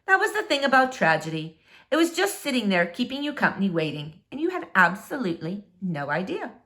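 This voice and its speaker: A woman narrates a children's storybook with hugely varied pitch that rises and falls across each phrase. Her phrasing is dramatic and deliberate, giving key words clear emphasis. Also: sharp tone. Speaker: storybook reader. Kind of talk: expressive children's book read-aloud.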